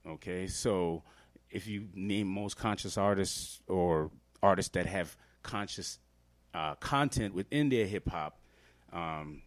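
A man's voice: deep voice, takes it slowly. A man from Trinidad and Tobago, a guest hip-hop artist, explaining earnestly in a casual podcast interview with many fillers.

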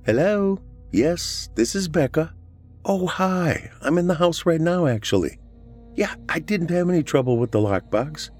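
A man voicing an excited young woman house hunter chatting on the phone in an audiobook. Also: on the high side, unhurried, soft background music, voice pushed up. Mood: bright, excited. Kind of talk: audiobook character voice.

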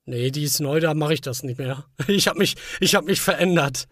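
A man's voice: on the high side, moderate pace, fairly bright tone, dry recording. A man co-hosting a podcast, chatting casually and jokingly in short colloquial remarks. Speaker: podcast co-host. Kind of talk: casual chat.

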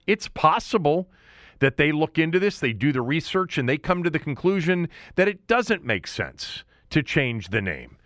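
Animated male sports talk host, dry close sound. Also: fairly high pitch, moderate pace, dry recording. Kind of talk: opinionated sports commentary.

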